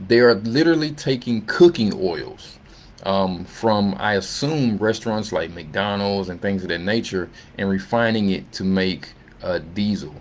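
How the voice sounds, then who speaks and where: A man with a low-pitched voice presents financial results informally, as if talking through slides without a script. His delivery is conversational and halting, with frequent little tag questions. A faint noise sits behind his voice. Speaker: presenter of an investment earnings summary. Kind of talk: informal unscripted financial commentary over slides. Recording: noticeable background noise.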